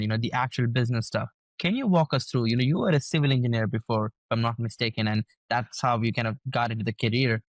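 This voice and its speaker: A man's voice: medium pitch, no echo. Upbeat male podcast host. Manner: upbeat, hype-style, calls people guys and brother. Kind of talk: interview podcast hosting.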